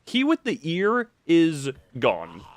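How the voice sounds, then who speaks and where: A man's voice: on the high side, wildly swooping pitch, slow, dry recording. A man doing casual live video game commentary, rambling playfully to his viewers and repeating himself as he exclaims.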